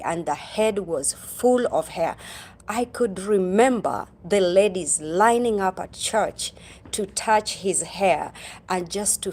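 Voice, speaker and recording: A middle-aged woman from Kenya, hosting a livestream and telling stories slowly, with many ums and uhs and greetings to viewers by name. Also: medium pitch, darkish tone. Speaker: livestream host. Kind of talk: casual livestream storytelling.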